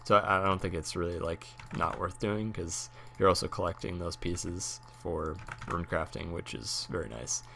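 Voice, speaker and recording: A young man with a hoarse voice from a cold, rambling casually with many fillers while talking about a game he streams. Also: low voice, normal speed, hardly any pauses, fairly bright tone, slight background noise. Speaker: gaming YouTuber and streamer. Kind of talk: casual talk over gameplay.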